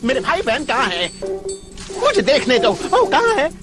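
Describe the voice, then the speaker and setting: A man voicing an eager, bossy dinosaur child in a dubbed cartoon, gushing with excitement. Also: very high-pitched, measured pace, exaggerated voice. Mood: excited, eager. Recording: loud music behind the voice.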